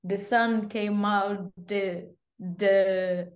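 A woman tells a personal memory at an unhurried pace. She speaks reflectively and repeats words for emphasis. Her voice sits at a medium pitch. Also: fairly even intonation. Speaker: guest, bride-to-be. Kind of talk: casual storytelling.

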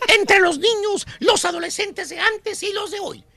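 A performer voicing a cranky, high-pitched old-school schoolmaster in a comic radio rant, preachy and slangy, full of rhetorical questions. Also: measured pace, creaky, sharp tone, no room sound, exaggerated voice. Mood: preachy, nostalgic.

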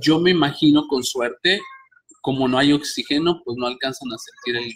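A man's voice: on the high side, normal speed, a bit bright, slight background noise. A man hosting a podcast, telling stories animatedly with many restarts. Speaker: podcast host. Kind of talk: casual interview chat.

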